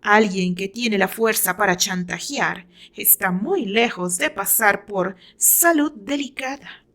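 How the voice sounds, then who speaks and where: A woman's voice: mid-range voice, slow pace, fairly bright tone. A woman with a Mexican accent reading her own fiction aloud as dramatic scripted narration.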